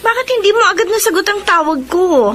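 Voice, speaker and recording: A woman's voice: extremely high pitch, animated, fast. An actress with a Filipino accent playing a doting, nagging wife in a radio drama, insistent with her reminders. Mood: fussing, concerned. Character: doting, nagging wife who fusses over her husband. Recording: faint noise and ambience behind.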